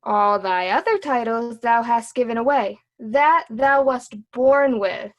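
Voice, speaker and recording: A woman's voice: fairly high pitch, slow, lo-fi sound. A woman playing a witty, cheeky court jester in a Shakespearean reading, with a mocking, sing-song delivery. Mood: teasing, riddling.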